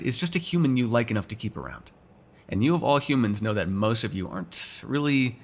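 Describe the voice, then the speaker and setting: A man playing a sardonic, teasing, foul-mouthed vampire in an ASMR roleplay, talking casually to one listener. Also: mid-range voice. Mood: explaining, sardonic. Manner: casual, teasing, sarcastic, swears freely.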